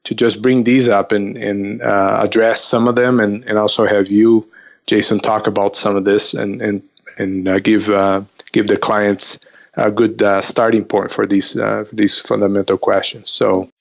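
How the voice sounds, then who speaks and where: A man answering conversationally as an interview guest, haltingly, repeating words as he goes, on slightly lo-fi audio. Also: fairly low pitch, little pitch movement, creaky. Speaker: investment counselor, podcast guest.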